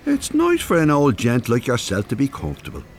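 A man's voice: medium pitch, wildly swooping pitch, fairly quick, slight background noise. An actor playing an older Irish man, cheeky and wry, bantering in a naturalistic radio drama. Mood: teasing, boastful.

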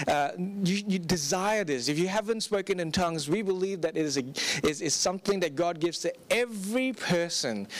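Young male pastor from Singapore, slightly high voice, earnest sermon delivery with frequent restarts. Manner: earnest, plain-spoken, repeats words when starting sentences.

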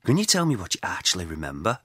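An actor playing a solemn, dry-spoken exorcist priest in an audio drama, husky, measured, formal and reserved. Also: male voice, sits low, wildly swooping pitch, fairly bright tone, full character voice. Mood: grave, gentle.

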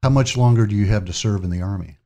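A man's voice: lowish voice, quick pace, warm tone. A man interviewing two guests, asking brief, probing questions.

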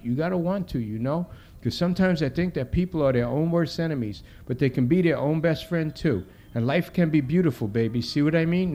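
A man's voice: on the high side, warm tone. A man voicing a young, streetwise ex-addict caller, earnest and preachy, pep-talking in slangy street rap.

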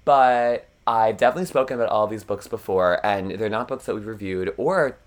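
Young man, podcast co-host, froggy voice, rambling casual chat, somewhat low. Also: fairly quick. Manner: chatty, rambling, self-deprecating, laughs often.

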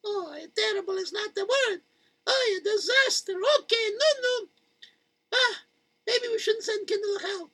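A man's voice: very high voice, normal speed, sharp tone, no room sound, voice pushed up. A man voicing a frantic, fretting mother who wails and sobs, her talk peppered with Yiddish words. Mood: panicky. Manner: wailing, sobbing.

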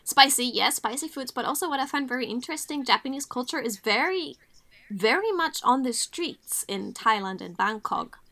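A woman's voice: high-pitched, expressive, normal speed, pushed and bright. A woman guest on a casual podcast, chatting about her video reporting work with many fillers. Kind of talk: casual chat.

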